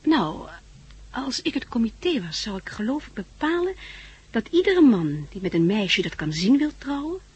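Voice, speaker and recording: A woman's voice: fairly high pitch, sing-song, normal speed, slightly rough, full character voice. An actress playing a frank, practical young woman in a 1960s Dutch radio drama, candid and lightly teasing. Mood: tender, candid.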